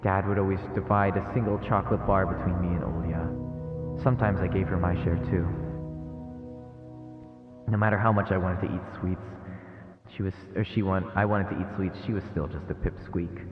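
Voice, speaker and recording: A phone-like, reverberant recording of a man with a low voice. He reads visual novel narration aloud in a casual streamer's way, and music plays behind him. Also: steady tone, moderate pace. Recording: echoey, phone-like narrow sound, long reverb. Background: game music.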